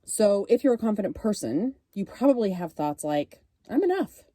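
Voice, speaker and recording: Warm dark-voiced woman coach with a cold. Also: mid-range voice, very animated, moderate pace, dry recording. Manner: earnest, encouraging coaching talk. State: has a cold. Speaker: podcast host and divorce confidence coach. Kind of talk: solo podcast monologue.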